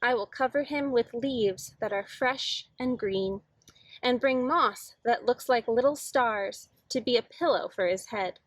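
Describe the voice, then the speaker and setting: A woman's voice: on the high side, a little slow, dry recording. A woman reading aloud as an eager, imaginative little boy in a children's picture book, earnest and dreamy.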